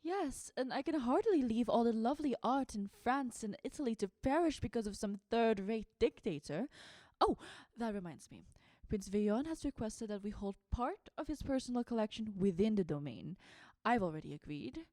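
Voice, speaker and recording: A woman's voice: a little high, expressive, moderate pace, few pauses, mellow, no echo, close to an everyday voice. A woman playing an elegant, manipulative vampire art lover in an audio drama, with an airy, genteel manner. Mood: breezy.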